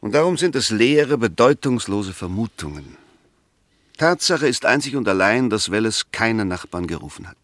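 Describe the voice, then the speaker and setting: An actor in a 1960s German radio play, playing an insistent advocate who argues emphatically with rhetorical questions. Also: male voice, fairly low pitch, sing-song, normal speed, fairly bright tone, no echo, studio quality. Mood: insistent, rebutting. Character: insistent male advocate arguing a murder suspect's innocence.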